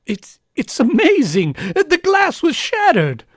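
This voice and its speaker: A man acting a megalomaniac villain posing as a professor in an old-time radio play, speaking in a deliberate, grandiose, theatrical manner. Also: very high-pitched, wildly swooping pitch, a little hiss or hum, exaggerated voice. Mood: feigned awe.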